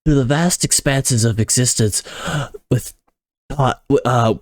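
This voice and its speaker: A man's voice: medium pitch, unhurried, dry recording, pitched above the performer's own voice, close to an everyday voice. A man voicing a large, big-nosed male creature who declaims theatrically in a nasal voice. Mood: enthusiastic. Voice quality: nasal, about-to-sneeze voice. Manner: theatrical, pompous stage declamation. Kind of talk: voice acting practice.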